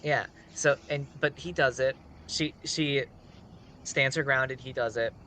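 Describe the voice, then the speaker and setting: A man's voice: medium pitch, a little slow, pushed and bright, a little hiss or hum. A man telling a story casually on a live stream, rambling with conversational asides.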